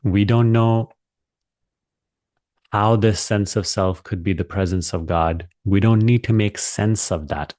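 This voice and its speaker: A man leading a guided meditation as a teacher, speaking calmly and deliberately with long pauses. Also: fairly low pitch, no room sound, clean studio sound.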